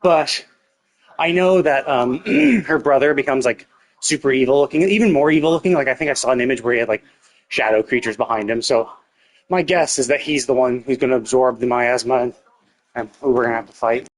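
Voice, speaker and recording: A man's voice: medium pitch, brisk. A man giving casual, speculative live game commentary as a streamer, often checking himself with "right?". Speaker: game livestreamer. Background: game music.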